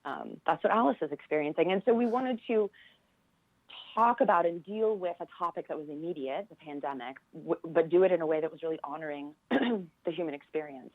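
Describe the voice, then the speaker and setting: A low American female voice comes through a thin, phone-like connection with no room echo. She talks as an interview guest, conversational, earnest and appreciative. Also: a little fast. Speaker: theater professor, interview guest. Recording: dull, phone-like band-limited line.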